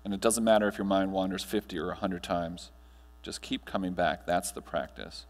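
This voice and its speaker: A fairly deep-voiced American man in his thirties addresses a conference room as a keynote trainer. He gives measured, instructional guidance with deliberate pauses. His voice carries the reverb of a large hall. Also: level tone. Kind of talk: guided breathing meditation and talk to an audience. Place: large hotel conference ballroom, on stage.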